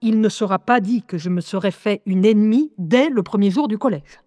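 A woman playing a lively young schoolgirl who narrates her life in a wry, confiding, expressive way in an audio drama. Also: medium pitch, brisk, no room sound, studio quality, natural voice. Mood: confiding narration. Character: lively eleven-year-old schoolgirl recounting life with inner voices.

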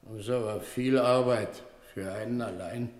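A man plays a grumbling bank worker in a radio play. His rather low voice rings with heavy echo and long reverb. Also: steady tone, moderate pace, close to an everyday voice. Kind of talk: German radio drama acting. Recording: echoey hall with long reverb.

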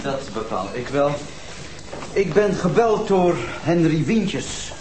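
A man's voice: fairly high pitch, sing-song, slow, a little mellow, poor audio. A man acting a bossy, exasperated police chief, commanding and reproachful in a TV drama. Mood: exasperated, stern. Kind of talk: naturalistic TV crime drama dialogue.

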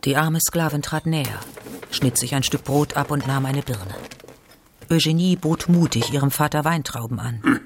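A man narrating a literary radio drama in a creaky, husky voice. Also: a little high, normal speed, a little hiss or hum.

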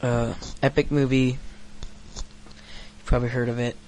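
A man's voice: mid-range voice, level tone, unhurried, darkish tone, slight background noise, rough recording. A thirteen-year-old boy hosting his own podcast, rambling crudely with lots of fillers and swearing. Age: teens. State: recovering from hoarseness. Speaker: podcast host. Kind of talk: casual solo podcast rant.

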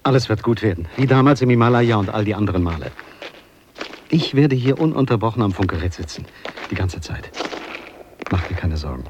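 A man's voice: on the low side, animated, a little fast, pauses often, noisy background, natural voice. A man acting a caring expedition companion who fusses and soothes like a mother, in a radio drama. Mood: caring, reassuring. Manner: solicitous, soothing.

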